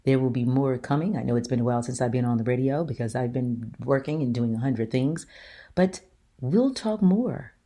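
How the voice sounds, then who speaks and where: Deep-voiced woman hosting her talk show. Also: moderate pace, mellow. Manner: earnest, emphatic, rhetorical questions to listeners. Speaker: show host. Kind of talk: solo opinion talk.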